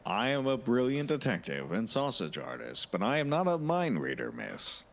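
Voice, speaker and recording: A man playing a very tall, boastful detective and sausage artist in a comedic audio drama, speaking formally and deadpan. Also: mid-range voice, normal speed, creaky. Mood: smug, courtly.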